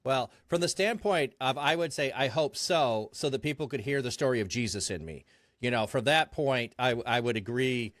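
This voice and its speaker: A man's voice: medium pitch, moderate pace, a bit bright, dry recording, studio quality. A man talking in an easygoing, chatty and self-deprecating way as a guest in a casual conversation. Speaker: streamer guest.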